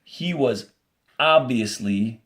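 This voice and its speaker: A man reading a story aloud as a teacher, deliberately, with instructional asides to those following along. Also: mid-range voice, expressive, fairly bright tone. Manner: deliberate, explains punctuation and page cues as he reads. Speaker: fourth grade teacher reading aloud to a class.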